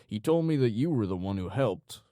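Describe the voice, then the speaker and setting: A man voicing a calm, sinister male psychopathic gangster in an audiobook, with menacing, mock-teacherly politeness. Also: fairly low pitch, moderate pace, dry recording, studio quality, pitched above the performer's own voice, close to an everyday voice. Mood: calm menace. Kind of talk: audiobook character dialogue.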